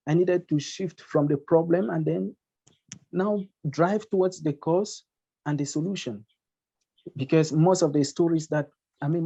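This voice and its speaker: A man's voice: a little high, mellow. A man from Cameroon, a journalist, explaining his reporting fluently on a webinar over a video call. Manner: fluent, explanatory, repeats phrases. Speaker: award-winning journalist. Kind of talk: webinar panel answer. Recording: dull lo-fi call audio.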